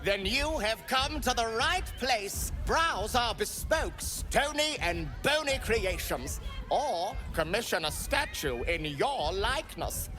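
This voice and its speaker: Eager besotted merchant, fantasy game, grandiose, high swooping voice, music behind. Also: male voice, sing-song, slow pace, exaggerated voice. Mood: eager, showy. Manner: fussy, grandiose sales patter. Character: eager, besotted, flamboyant male sculptor-merchant. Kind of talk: theatrical game character acting.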